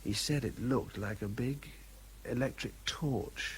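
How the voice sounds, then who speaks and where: An actor playing an exhausted, anxious English army officer in a radio drama, urgent and halting in his speech. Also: mid-range voice, slight background noise, close to an everyday voice. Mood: weary, grave. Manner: halting, repeats himself under strain. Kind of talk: British radio drama acting.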